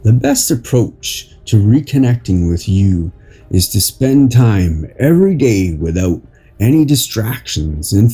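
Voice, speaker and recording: A man reading an inspirational article as a host, in a deliberate, phrase-by-phrase narration. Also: medium pitch, warm tone, slightly rough. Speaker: podcast host and article reader.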